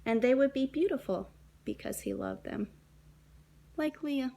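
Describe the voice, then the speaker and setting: A woman, a young adult, reading a children's story aloud in a dramatic, deliberate way with shifts between emphasis and hush. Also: sits high, big pitch swings, vocal fry. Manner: dramatic, deliberate phrasing with hushed and emphatic moments.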